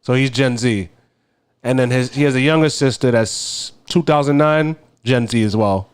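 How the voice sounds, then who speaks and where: A man's voice: mid-range voice, moderate pace, smooth. Laid-back joking man. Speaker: co-host. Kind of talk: casual chat.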